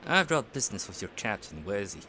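A man's voice: fairly low pitch, sing-song, fast talker, sharp tone, slight background noise. A man doing casual, playful live commentary on a game, often hesitating as he goes. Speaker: game streamer. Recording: game music behind the voice. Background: video game music.

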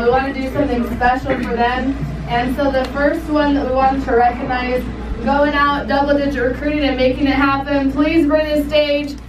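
A woman's voice: high voice, heavy background noise. A woman running an office recognition meeting, announcing to a crowd with energy. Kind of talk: speech to a crowd. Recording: roomy, from far off, loud crowd and music.

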